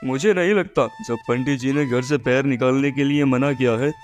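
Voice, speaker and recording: A man's voice: medium pitch, normal speed, lightly acted. A man playing a practical, mild-mannered husband and son, explaining things matter-of-factly in a scripted moral story cartoon. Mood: concerned. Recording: music behind the voice.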